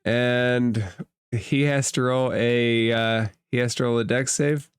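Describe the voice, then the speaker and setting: A game master narrating a tabletop game in a measured, matter-of-fact way. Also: mid-range voice, steady tone, clean tone, dry recording, studio quality.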